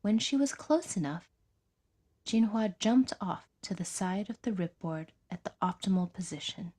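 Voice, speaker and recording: Soft, husky female story narrator. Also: mid-range voice. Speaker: audiodrama narrator.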